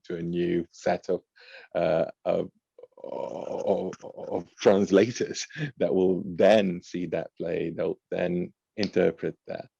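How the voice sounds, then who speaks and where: A man moderating an online panel, upbeat and halting between thoughts, heard over a video call. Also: sits low, vocal fry, dark tone, dry recording. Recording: dull, dry video call audio.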